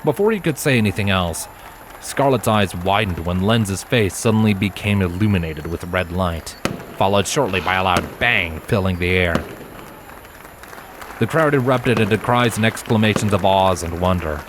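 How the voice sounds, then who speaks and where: A man reading fiction aloud as an expressive narrator of a scripted story. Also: low voice, moderate pace, a crowd in the background, noisy background.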